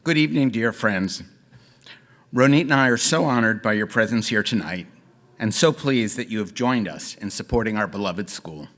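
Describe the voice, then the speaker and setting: Middle-aged dad reading a formal gala speech, steady and dignified. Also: male voice, on the low side, moderate pace. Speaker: parent and honoree giving a gala speech.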